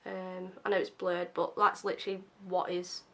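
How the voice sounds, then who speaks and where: A young woman with a slightly croaky voice, casually chatting through a makeup first-impressions video with lots of ums and self-deprecating asides. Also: on the low side, level tone, moderate pace, pushed and bright. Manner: chatty and self-deprecating, many fillers like um and obviously. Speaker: beauty vlogger.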